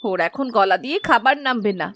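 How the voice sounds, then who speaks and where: A woman voicing a caring village mother in a cartoon, gentle and obliging in manner. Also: a little high, animated, fairly quick. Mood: explaining. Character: caring village mother, attentive to her elder. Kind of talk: cartoon dialogue. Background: faint music.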